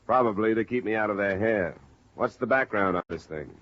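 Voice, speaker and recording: A man's voice: fairly low pitch, fairly quick, natural voice. An actor playing a wry, businesslike male insurance investigator in a 1950s radio detective drama, with dry, clipped delivery. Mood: wry, casual. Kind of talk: 1950s radio detective acting and first-person narration. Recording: muffled old radio recording with music.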